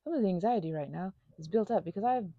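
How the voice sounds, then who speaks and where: An anxious, panicky woman rambling casually as a vlog host, halting and drifting off on tangents, with playful theatrical flourishes. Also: mid-range voice, expressive, dry recording, muffled recording. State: unwell. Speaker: ASMR channel host.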